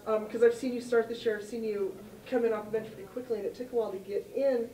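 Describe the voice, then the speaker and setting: A woman's voice: a little high, steady tone, fast, warm tone. A woman reporter asking players chatty, rambling questions at a press conference. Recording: roomy, distant off-camera mic.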